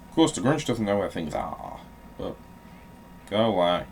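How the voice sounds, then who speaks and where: A man's voice: on the low side, animated, normal speed, darkish tone, a little hiss or hum. A man giving casual, joking video game commentary in an irreverent, sweary manner. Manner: irreverent, sweary, breaks into playful put-on readings and song. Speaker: host of a gaming video.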